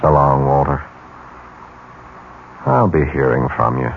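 A man's voice: a bass voice, animated, slow, gravelly, a little hiss or hum, exaggerated voice. An American man acting a smug, scheming bachelor executive in a 1970s radio drama, suave and sly. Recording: tinny 1970s radio recording, music behind.

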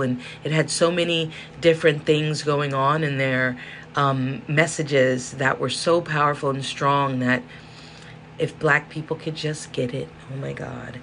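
A hoarse woman from Los Angeles hosting a solo podcast, talking in a rambling, chatty way that keeps interrupting itself. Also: low-pitched, fairly bright tone. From South Central Los Angeles. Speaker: podcast host. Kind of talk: casual solo podcast monologue. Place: indoor room with space heater. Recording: faint background whirring noise.

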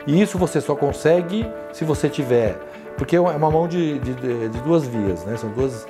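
A man in his sixties with a São Paulo accent, recalling his working life in an interview, talking slowly with many restarts and tag questions. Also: medium pitch, expressive, music in the background. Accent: São Paulo Portuguese. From São Paulo, Brazil. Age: 60s. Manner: unhurried, hesitant, frequent tag questions and restarts. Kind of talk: reflective life-story interview.

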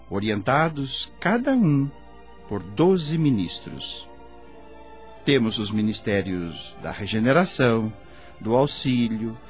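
A slow, solemn, expressive spirit guide. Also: male voice, medium pitch. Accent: Brazilian Portuguese. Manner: solemn, measured explaining. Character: kindly male spirit guide, earnest, reverent veteran helper. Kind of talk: Brazilian radio drama acting, didactic and declamatory. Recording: radio drama with music bed. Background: faint music.

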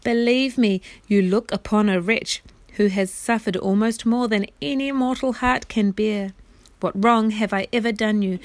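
A woman voicing an anguished bewitched knight in a storybook, pleading in courtly, archaic words with drawn-out, groaning delivery. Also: medium pitch, no echo, lightly acted. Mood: bitter pleading. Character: anguished bewitched knight, a desperate young man pleading in bonds.

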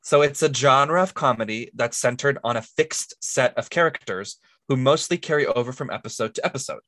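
A man's voice: mid-range voice, a little fast, fairly bright tone, dry recording. A man co-hosting a podcast, explaining things in a casual, jokey, conversational way. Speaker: podcast co-host.

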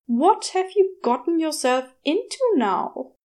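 A woman's voice: high voice, lively intonation, slow, darkish tone, voice pushed up. A woman voicing a fussy, bookish male angel in a story, courteous and genteel with an exasperated edge. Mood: weary exasperation.

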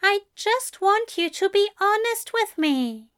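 A woman's voice: very high voice, slow pace, a bit bright, voice pushed up, exaggerated voice. A woman voicing a prim, anxious male angel in an audiobook story, speaking in a precise, earnest and pleading manner. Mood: hurt, pleading.

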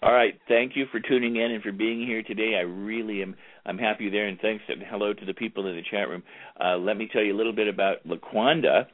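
A man's voice: on the low side, steady tone, fast talker, no room sound. A man hosting an internet radio interview show, chatting in an easygoing way as he plugs the show and introduces his guest. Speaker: radio talk show host. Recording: tinny phone-like line, dry.

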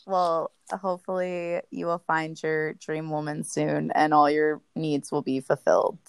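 Female podcast host, low gravelly voice, laid-back talk with many fillers, dry close sound. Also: a little slow, no room sound. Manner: laughs often, chatty with many fillers. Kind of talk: casual podcast chat.

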